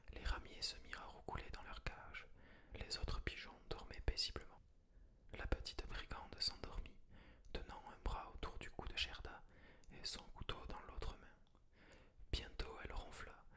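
A storyteller reading a fairy tale aloud in a steady, hushed whisper for an ASMR listener. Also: normal speed.

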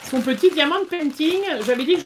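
A woman, chatting casually as the host of a craft livestream, with hesitant pauses. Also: sits high, a little hiss or hum. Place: small indoor craft room.

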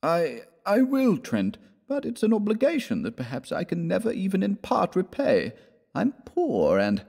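A man's voice: medium pitch, wildly swooping pitch, unhurried, vocal fry, voice pushed up, exaggerated voice. A man voicing a poor, proud sixteen-year-old boy in a storybook, shy, hesitant and earnest. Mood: embarrassed earnestness. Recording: long reverberant room echo.

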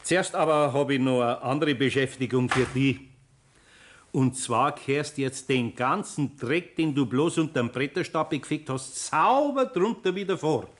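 A man's voice: medium pitch, a little slow, natural voice. A man playing an older, good-natured master carpenter with a Bavarian accent in a 1960s German radio play, folksy and chiding. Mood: stern, teasing.

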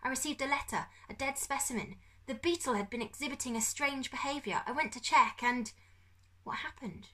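A woman reading an audiobook, voicing a frightened, broken father who speaks weakly and pleads, raising her pitch well above her own. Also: brisk, fairly bright tone. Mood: confused, shaken. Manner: weak, shaken, pleading. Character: frightened desperate middle-aged father, broken, tearful. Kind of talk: audiobook character voice.

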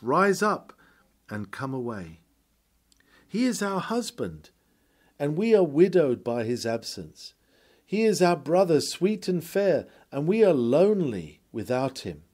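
A man reading a sermon aloud in a solemn, earnest, oratorical manner with dramatic pauses. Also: on the high side, a little mellow. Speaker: sermon reader.

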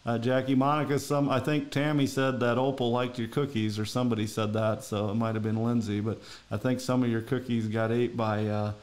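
A man's voice: mid-range voice, little pitch movement, a little fast, hardly any pauses, darkish tone. A middle-aged man from Minnesota with a Minnesotan accent, hosting a podcast in casual, rambling talk from home over a video call. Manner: rambling, folksy, many fillers. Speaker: podcast host. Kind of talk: casual interview chat.

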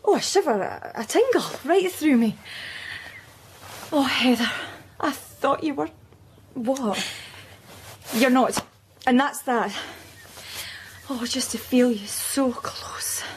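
A woman's voice: sits high, slow pace, pauses often, slight background noise. An actress playing a tender, worried mother in a radio drama. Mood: overcome.

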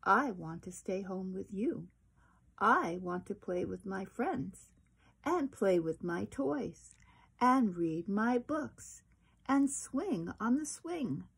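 A woman's voice: mid-range voice, expressive, slow, gravelly, slightly rough, exaggerated voice. A woman voicing a sad, reluctant little boy raccoon in a children's storybook, pleading.

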